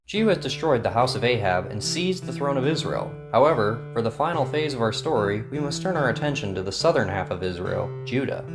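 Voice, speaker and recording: Guy narrating a bible audio drama, mid voice, scripted storytelling, music going behind him. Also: mid-range voice.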